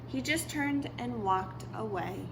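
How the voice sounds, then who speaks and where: A woman reading a children's picture book aloud with deliberate, expressive storytelling. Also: a little high, background noise. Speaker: story reader.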